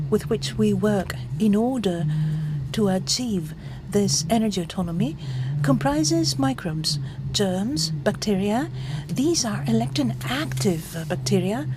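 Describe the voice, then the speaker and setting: A woman at mid pitch interpreting a conference talk as it happens, stopping often with fillers to catch up. Her voice comes over an interpretation feed with clear background noise. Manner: halting, frequent pauses and fillers while interpreting.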